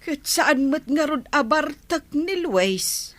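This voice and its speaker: A woman's voice: high-pitched, lively intonation, slow pace, fairly bright tone, no room sound, full character voice. A woman with a Filipino accent playing a village mother advising her grown daughter in an Ilocano radio comedy drama. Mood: coaxing.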